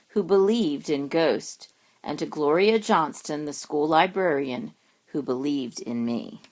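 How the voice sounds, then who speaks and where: A woman reading a rhyming children's picture book aloud, expressively and with dramatic emphasis on words. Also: deep voice. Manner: deliberate, dramatic word emphasis.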